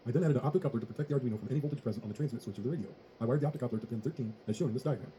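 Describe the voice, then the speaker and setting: Guy reading a tech tutorial super fast, really dark muffled tone, formal and methodical, slight noise. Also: medium pitch. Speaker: tutorial presenter. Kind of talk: scripted technical tutorial narration.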